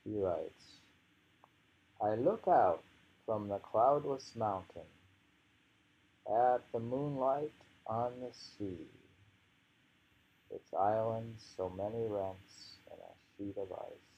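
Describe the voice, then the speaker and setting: Slow, gravelly low-voiced man reading poetry. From United States. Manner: musing, halting, unhurried. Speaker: poetry reader. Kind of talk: informal poetry reading with off-the-cuff commentary. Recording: dull lo-fi home recording.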